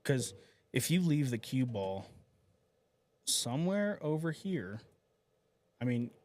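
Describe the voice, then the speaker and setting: A man's voice: mid-range voice, sing-song, takes it slowly, darkish tone. A man co-commentating a live pool match, chatty and opinionated, often restarting his sentences.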